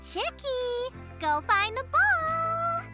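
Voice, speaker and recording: An eager little girl fox from a kids' cartoon, sweet and excitable. The voice is extremely high and bouncy, with slow, drawn-out gushing words over music. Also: heavily acted. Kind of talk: children's cartoon voice acting. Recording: music behind the voice.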